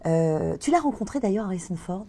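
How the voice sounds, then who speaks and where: Woman, interviewer, husky, mellow tone, admiring questions, indoor lounge. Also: mid-range voice, animated, a little fast.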